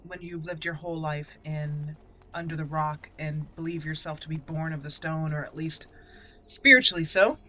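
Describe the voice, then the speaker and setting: Lower-voiced woman narrating a video game. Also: moderate pace, sharp tone, a little hiss or hum. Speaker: host of a gaming channel. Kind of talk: let's play commentary with roleplay.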